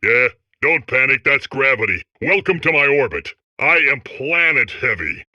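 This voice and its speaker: Bright, blustering robot lump of lead. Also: male voice, mid-range voice, sing-song, moderate pace, no room sound, muffled recording. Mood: self-pitying, boastful. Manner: slangy, blustering, rambling. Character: boastful talking lead core, dim, gruff, easily worked up. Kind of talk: comedic video game character acting.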